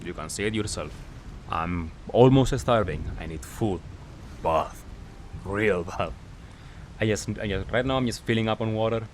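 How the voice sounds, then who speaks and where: A man giving casual gameplay commentary as a recap, talking in short clipped phrases with pauses. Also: fairly low pitch, a little hiss or hum. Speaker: gaming video creator.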